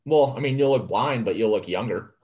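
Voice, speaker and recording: A man's voice: mid-range voice, animated, brisk, a little mellow. A man in his late twenties co-hosting a casual livestream chat, laid-back and joking, with lots of fillers. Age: 20s. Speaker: co-host.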